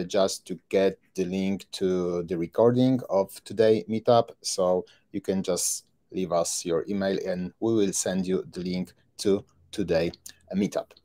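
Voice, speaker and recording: A man with a fairly low voice who hosts an online tech meetup. He welcomes the audience back and introduces the next speaker. He talks haltingly, with plenty of fillers. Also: dark tone. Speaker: meetup host. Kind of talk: event moderation.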